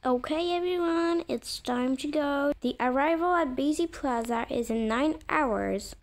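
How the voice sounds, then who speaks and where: A polite train driver addressing passengers in a cartoon roleplay, played by a woman. The voice is high, orderly and unhurried. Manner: orderly, announcing. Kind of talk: animated roleplay announcements.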